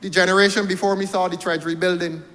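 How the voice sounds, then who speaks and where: A Barbadian man with a high voice delivers a parliamentary speech. He is rhetorical and repeats his phrases for emphasis. His voice rings with the long reverb of a large chamber. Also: little pitch movement, fast talker, sharp tone. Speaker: member of parliament.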